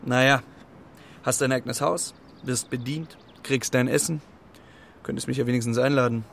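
An actor playing a cheeky, streetwise pigeon in a children's radio play, with a gravelly voice and a sardonic, colloquial swagger. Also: male voice, medium pitch, steady tone, normal speed, fairly bright tone, slight background noise. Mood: mocking.

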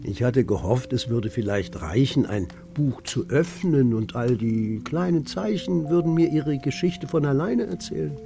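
A stern old grandfather in a children's radio drama. He talks in short, commanding phrases, gruff in manner, his medium-pitched voice moving very freely up and down. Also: lively intonation, unhurried, hardly any pauses, warm tone, faint music behind. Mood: wistful. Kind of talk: German children's radio play acting.

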